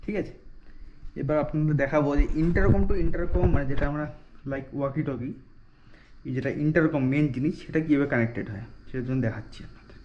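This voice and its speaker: A man's voice: on the high side, a little fast, darkish tone. A man giving a casual, step-by-step product demonstration in an explanatory way, often checking in with a short "okay". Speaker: product reviewer. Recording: dull lo-fi sound with faint noise.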